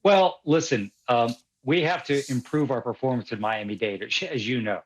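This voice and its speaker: A man appearing as a political strategist guest on a news panel, speaking in a forceful, emphatic way. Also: medium pitch, moderate pace. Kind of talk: cable news panel commentary.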